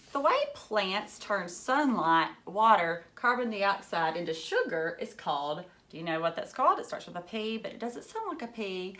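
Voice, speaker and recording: A woman's voice: mid-range voice, fairly bright tone. A woman teacher reading a children's book aloud, adding simple, encouraging explanations in short phrases and putting questions to young listeners.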